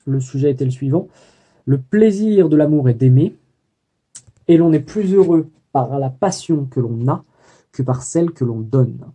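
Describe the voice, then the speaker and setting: A man's voice: mid-range voice, animated, normal speed, warm tone, slightly rough. A young man recording a daily video diary about his student life, talking hesitantly with many fillers and self-corrections. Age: young adult. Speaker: student vlogger.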